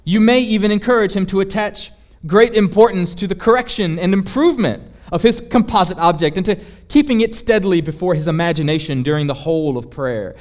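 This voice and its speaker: Man playing a senior demon, slightly high voice, formal diction, deliberate, scheming mentor, literary reading. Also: darkish tone, close to an everyday voice. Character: senior demon writing scheming advice to his apprentice. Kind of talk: dramatic reading of a satirical book.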